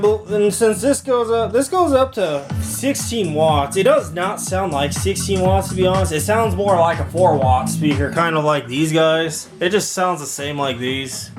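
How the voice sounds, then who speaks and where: A man talking informally in a hands-on product demo vlog, rambling a little and correcting himself as he goes. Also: high-pitched. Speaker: video maker reviewing audio gear.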